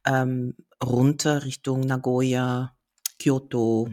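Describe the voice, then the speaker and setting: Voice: medium pitch, level tone, extremely slow, a little mellow. A speaker in a casual conversation. The voice sits in a middle register. The delivery is matter-of-fact and explanatory.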